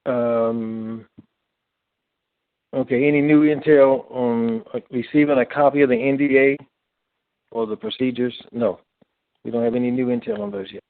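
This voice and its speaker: Man, co-host of a call-in, halting casual delivery, dry and thin telephone sound. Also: mid-range voice, creaky, dry recording. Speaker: co-host reading listener questions. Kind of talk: casual conference call, reading questions aloud. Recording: narrow, lo-fi phone line.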